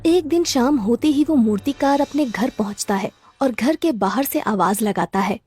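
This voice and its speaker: A woman's voice: a little high, lively intonation, normal speed, darkish tone, breathy edge, soft background music. A woman narrator reading a scripted children's story.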